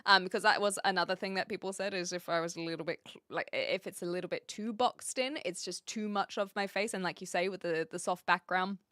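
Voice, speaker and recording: A woman's voice: mid-range voice, sharp tone, clean tone, no room sound, clean studio sound. A woman from New Zealand streaming live and chatting casually with viewers, rambling a little with restarts and fillers.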